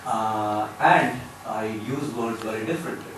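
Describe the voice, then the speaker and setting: A man with an Indian accent speaks at a panel in a big hall, his voice bouncing around the room and picked up from a distance. He talks in a measured way, pausing often with fillers, and a steady hiss sits under his words. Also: lowish voice. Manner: measured, hesitant with many fillers. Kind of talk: panel discussion remarks. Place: conference hall panel table. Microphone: table mic, far camera. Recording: echoey hall, distant mic, noise.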